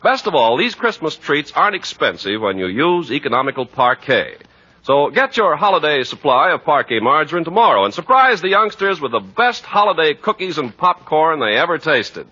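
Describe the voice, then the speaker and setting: Bright, emphatic male 1940s radio announcer. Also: on the high side, big pitch swings, normal speed. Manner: polished, emphatic salesmanship. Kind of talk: scripted 1940s radio announcing and sponsor pitch. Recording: muffled 1940s radio recording.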